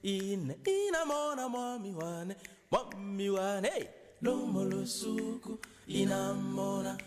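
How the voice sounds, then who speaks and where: A man singing a slow a cappella song. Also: high-pitched. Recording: long reverb with loud music.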